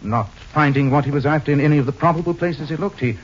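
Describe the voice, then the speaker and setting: Man acting urbane gentleman sleuth, dry wit, husky, deliberate, muffled vintage radio drama recording. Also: a little high, close to an everyday voice. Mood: thoughtful, deliberate. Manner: urbane, dryly witty, deliberate. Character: suave, wry gentleman detective, cool and unhurried. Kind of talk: 1940s-50s radio acting.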